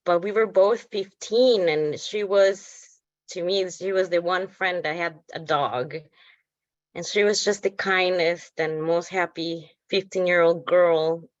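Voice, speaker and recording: Female activist from El Salvador, slow, halting, earnest testimony, dry and slightly dull call audio. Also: on the low side, steady tone, no room sound. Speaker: activist organizer. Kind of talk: personal testimony. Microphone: video call.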